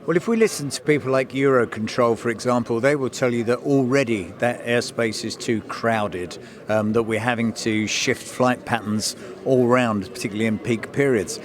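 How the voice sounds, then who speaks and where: A man's voice: mid-range voice, moderate pace. Male interviewer amid crowd chatter. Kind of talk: on-location trade interview.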